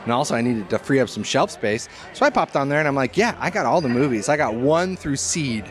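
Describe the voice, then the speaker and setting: A man chatting casually as a podcast guest, telling stories in an animated, informal way. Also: medium pitch, brisk. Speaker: podcast guest, co-host of a film podcast. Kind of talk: casual storytelling chat. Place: on location at a Halloween event.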